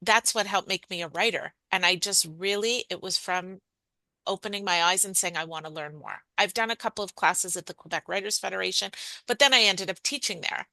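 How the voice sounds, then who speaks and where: A woman author chatting candidly and quickly in an interview about how she became a writer. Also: mid-range voice, vocal fry, sharp tone, no echo, poor audio. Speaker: author guest. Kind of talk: casual interview conversation.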